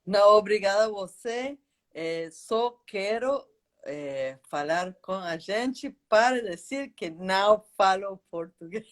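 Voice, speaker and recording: A woman whose first language is English, speaking as an interview guest in a careful, hesitant, deliberate way. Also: mid-range voice, animated. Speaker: guest, legal advisor. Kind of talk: introducing herself in a live interview.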